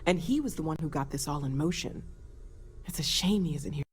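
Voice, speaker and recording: A woman's voice: low voice, lively intonation, brisk. An actress voicing a friendly, thoughtful young woman scientist in a video game, earnest and a little awkward. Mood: informative. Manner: earnest, slightly awkward, tentative. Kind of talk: scripted video game dialogue. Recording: clear background noise and ambience.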